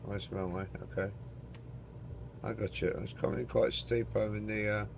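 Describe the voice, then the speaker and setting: A man with a gruff, fry-laden voice narrates his own gameplay in a loose, joking way, swearing now and then. He mutters to himself and speaks to his chat. Loud aircraft engine noise roars under his voice. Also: fairly low pitch, fairly even intonation, fairly quick. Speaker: game streamer. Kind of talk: casual live commentary while playing a flight simulator.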